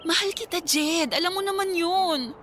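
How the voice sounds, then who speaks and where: A woman's voice: extremely high pitch, normal speed, a bit bright, a little hiss or hum. An actress playing a devoted, anxious young Filipina student girlfriend in a radio drama, pleading and affectionate. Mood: soothing. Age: young adult. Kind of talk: Tagalog radio drama acting.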